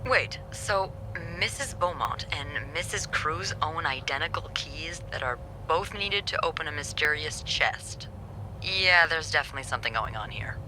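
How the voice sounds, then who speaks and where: An actress playing a wry, warm, teasing young hotel receptionist in a video game, with an expressive, conspiratorial way of talking. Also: female voice, deep voice, normal speed, noisy background. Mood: intrigued, wry. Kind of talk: naturalistic video game acting.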